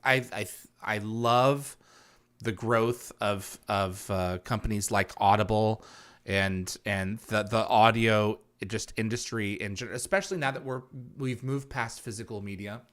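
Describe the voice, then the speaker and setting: A man's voice: lowish voice, fairly even intonation, unhurried, a bit bright. Man hosting an interview, stammering restarts. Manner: attentive, frequent backchannels, stammering restarts. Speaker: podcast interview host. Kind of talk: casual interview chat.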